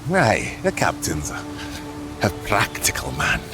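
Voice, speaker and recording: An actor playing a cheeky, defiant rebel blacksmith in a fantasy audio drama, with a wry, flippant manner. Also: male voice, low-pitched, wildly swooping pitch, unhurried, over music, full character voice. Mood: wry bravado.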